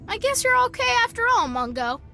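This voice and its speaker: A woman's voice: very high voice, big pitch swings, fairly quick, sharp tone, soft background music, exaggerated voice. A woman voicing a snappy, grudging child gatekeeper in a video game. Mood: hostile, grudging. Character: prickly, hostile child gatekeeper. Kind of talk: video game character acting.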